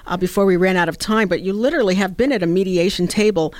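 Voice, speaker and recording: A woman hosts a talk radio show in a chatty, friendly way, dropping in casual asides. Her voice sits at a middle pitch and sounds dry and close to the mic. The room adds almost no reverb. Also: quick pace.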